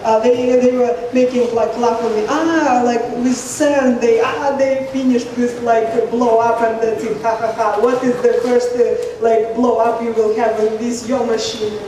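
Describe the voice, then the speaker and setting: A woman from Lithuania with a Russian accent asks an audience question haltingly, searching for words. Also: on the high side, fairly even intonation, dark tone. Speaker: audience member asking a question. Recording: echoey hall, distant mic, faint noise.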